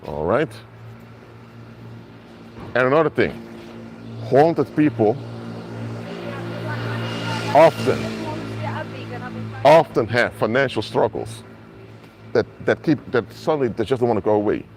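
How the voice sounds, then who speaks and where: A man's voice: medium pitch, animated, takes it slowly, long pauses, a little mellow, faint music behind. A man whose first languages are Papiamento and Dutch, preaching earnestly to live-stream viewers on a mobile phone outdoors in a city. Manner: earnest, repetitive, addresses viewers directly. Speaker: minister. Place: outdoors in an urban area.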